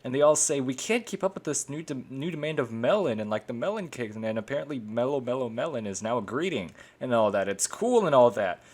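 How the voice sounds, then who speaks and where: A man's voice: medium pitch, expressive, fast, few pauses, darkish tone. A man co-hosting a casual group podcast, rambling along in an amused, enthusiastic way. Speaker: podcast co-host.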